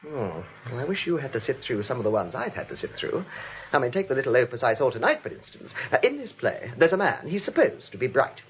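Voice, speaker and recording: An actor playing a frantic, exasperated young man in excitable old-time radio comedy style, with a husky voice. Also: medium pitch, fast, slight background noise. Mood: mocking, overconfident. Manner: excitable, theatrical. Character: frantic, exasperated young theatre critic nephew. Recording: dull vintage radio recording.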